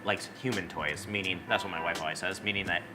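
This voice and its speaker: Middle-aged man, deepish voice, casual interviewing, music clearly audible underneath. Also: fairly even intonation, quick pace.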